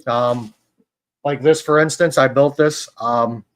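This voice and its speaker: A man hosting a casual live stream about fishkeeping, talking in a relaxed, rambling way with many fillers. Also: mid-range voice, slow. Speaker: host.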